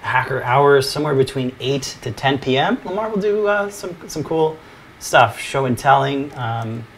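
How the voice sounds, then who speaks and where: Slightly hoarse man hosting casually. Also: medium pitch, normal speed, slight background noise. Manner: relaxed, rambling, laughs often. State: losing his voice. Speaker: co-host. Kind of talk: casual live-stream chat.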